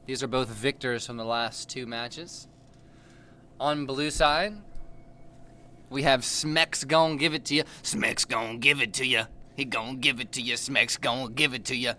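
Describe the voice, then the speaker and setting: Young guy casting a game match, jokey and hyped, mid pitch, bit of noise. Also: steady tone, unhurried, pushed and bright. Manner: playful, hypes the chat. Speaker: esports caster. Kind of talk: casual play-by-play commentary. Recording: faint background noise.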